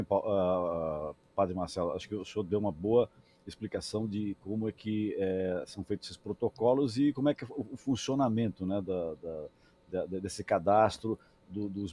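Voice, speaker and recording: A man's voice: sits low, moderate pace, dark tone, a little hiss or hum. A man hosting a discussion program, thanking a guest and reading out the show's social media with some stumbling and repeated words. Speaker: program host.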